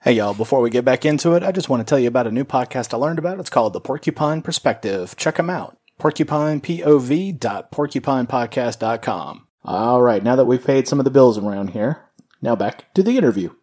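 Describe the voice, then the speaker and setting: A man's voice: medium pitch, lively intonation, fairly quick, darkish tone, husky. A man hosting a casual interview podcast in a folksy, informal way. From Louisiana, United States.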